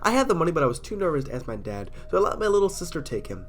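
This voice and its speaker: A man's voice: a little high, very animated, very fast. Stuffy-voiced man reading horror stories. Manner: chatty, self-deprecating, stumbles on words. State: stuffy from a cold. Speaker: storyteller.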